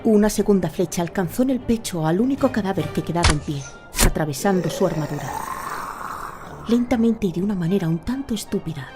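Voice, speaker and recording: A woman narrating a fantasy audio drama in a scripted, dramatic storytelling style. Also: fairly low pitch.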